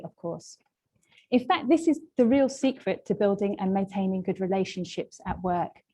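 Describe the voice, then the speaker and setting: Mellow-voiced woman presenting a webinar. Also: medium pitch. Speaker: webinar presenter, language trainer. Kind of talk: webinar lesson, semi-scripted presentation.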